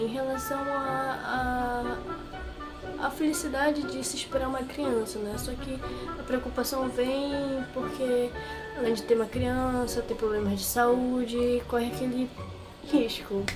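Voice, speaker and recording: A young woman from Brazil with a Brazilian Portuguese accent, telling a personal story to her viewers in a chatty, rambling way full of fillers. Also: a little high. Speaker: vlogger. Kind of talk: casual personal storytelling to camera. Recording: clear background music under the voice.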